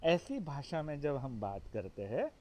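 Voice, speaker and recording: Male spiritual teacher, hoarse, unhurried, lively melodic delivery, teaches by rhetorical questions. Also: on the high side, a little hiss or hum. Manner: poses rhetorical questions and answers them himself. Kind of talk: spiritual discourse.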